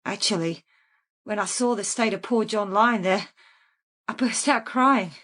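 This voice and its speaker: A woman's voice: mid-range voice, measured pace, fairly bright tone. An actress playing a calculating woman who confesses to killing in a matter-of-fact, unrepentant way, in a naturalistic radio crime drama. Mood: matter-of-fact, reminiscing. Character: calculating female killer calmly confessing in a police interview.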